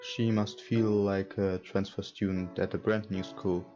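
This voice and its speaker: Slow, low-voiced man over guitar music. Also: little pitch movement, warm tone. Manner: hesitant, halting, searching for words. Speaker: let's player. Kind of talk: reading visual novel text aloud with casual commentary. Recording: dull lo-fi mic with music behind. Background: guitar game music.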